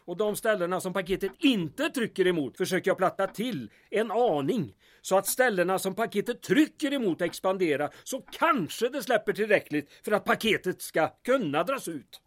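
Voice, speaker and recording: A man's voice: sits high, expressive, hardly any pauses. A man acting a put-upon town mayor in an audio drama, with a dry, sardonic manner. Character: exasperated, sarcastic male town mayor doing plumbing.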